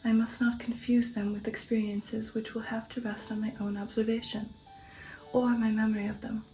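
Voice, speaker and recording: A woman narrates an audiobook in ASMR style. Her voice is breathy and half-whispered, with a very mellow tone and little movement in pitch. She phrases each sentence deliberately. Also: little pitch movement, soft background music, rough recording.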